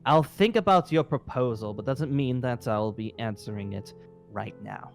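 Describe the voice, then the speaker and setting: A man's voice: mid-range voice, moderate pace, gravelly, faint music behind, natural voice. A man playing a skeptical, stubborn, centuries-old dragon slayer in an improvised fantasy game roleplay. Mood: measured, explaining. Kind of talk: improvised Minecraft roleplay acting.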